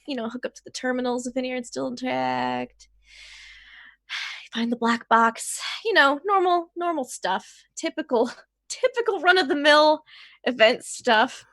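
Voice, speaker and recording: A woman introduces herself as a player in a tabletop game. Her voice is very high and recorded dry. She talks casually, with a perky, self-deprecating way about her. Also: unhurried, a bit bright, no room sound. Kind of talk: casual chat.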